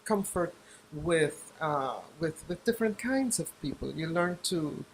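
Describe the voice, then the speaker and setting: A woman's voice: low voice, lively intonation, measured pace, a little hiss or hum, rough recording. Reflective older woman from Guyana. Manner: reflective, searches for words. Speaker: author and journalist, guest. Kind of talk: interview.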